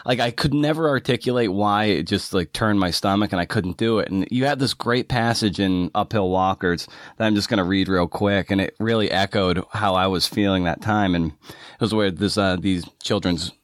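Low-voiced man, halting casual interviewer. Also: no room sound, clean studio sound. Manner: halting, repeats phrases, informal. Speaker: podcast host, interviewer.

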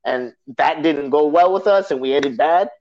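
Casting: thirties man, emphatic street slang, slightly high voice, muffled lo-fi recording. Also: normal speed, a little mellow. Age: 30s. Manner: emphatic, slangy, repeats "you know what I'm saying". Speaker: podcast guest. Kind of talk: casual podcast chat. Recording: dull lo-fi sound.

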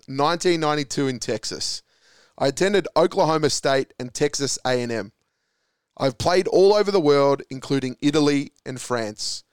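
A man's voice: on the high side, clear, resonant tone, dry recording, clean studio sound. An Australian man hosting a sports quiz podcast, upbeat as he reads clues and calls answers. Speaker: quiz host.

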